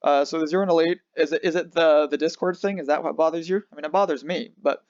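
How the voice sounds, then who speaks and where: A man's voice: a little high, steady tone, fast talker, darkish tone, dry recording, highs cut off. A young man from near Geneva who switches between French and English, casually rambling through a livestream chat with lots of restarts and fillers. He speaks French with a Geneva Swiss accent. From France near Switzerland. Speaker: livestream host.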